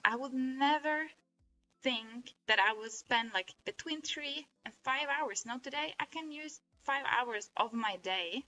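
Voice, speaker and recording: Norwegian-accented woman, native Norwegian speaker, bright voice, earnest and rambling, soft music behind. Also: medium pitch, rough recording. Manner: rambling, many restarts and fillers, earnest. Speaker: vlogger. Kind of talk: casual reflective monologue.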